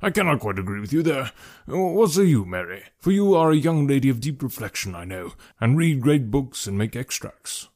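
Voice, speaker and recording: A man voicing a calmly ironic middle-aged gentleman father in an audiobook, measured, formal and deadpan. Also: mid-range voice, pitched above the performer's own voice. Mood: mock serious. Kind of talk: audiobook character voice.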